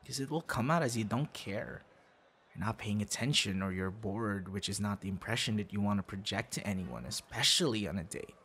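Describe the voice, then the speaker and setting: A man's voice: on the low side, a little fast, a bit bright, slight background noise. A man acting as a friendly, teasing and supportive young would-be boyfriend in an ASMR audio roleplay, speaking conversationally and reassuringly. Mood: earnest, reassuring.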